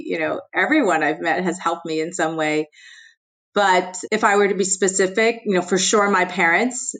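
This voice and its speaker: A middle-aged American woman whose first language was Chinese, speaking candidly as a podcast guest, with many "you know" fillers. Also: lowish voice. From United States. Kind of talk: conversational interview.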